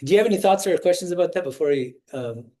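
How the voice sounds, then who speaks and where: A man's voice: sits high, expressive, fast, dark tone. A man in his forties from the United States, a swami giving an informal spiritual talk to students and stopping often to ask them questions. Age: 40s. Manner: conversational, often restarts phrases and quizzes the listeners.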